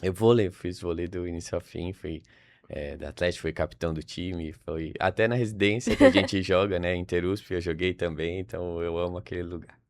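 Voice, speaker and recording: Young brazilian guy on a podcast, deep voice, dry recording, chatting casually and thinking out loud. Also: steady tone, fairly quick, dry recording. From Salvador, Brazil. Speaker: podcast guest, neurologist. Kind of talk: casual interview conversation.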